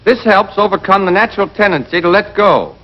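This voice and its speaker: A man playing a brisk, exacting American army rifle instructor in a scripted 1940s training film, drilling and quizzing with emphasis. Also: sits high, animated. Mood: brisk, instructive. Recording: dull band-limited 1940s film soundtrack.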